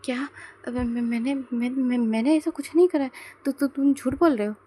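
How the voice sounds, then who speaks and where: A woman's voice: high voice, steady tone, moderate pace, mellow, slight background noise, voice pushed up, close to an everyday voice. A woman voicing a flustered, frightened young man who stammers out indignant protests. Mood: panicked.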